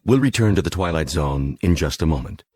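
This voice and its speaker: An American male announcer with a very deep voice reads scripted radio credits. He is formal and measured, and music plays clearly behind him. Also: lively intonation, mellow.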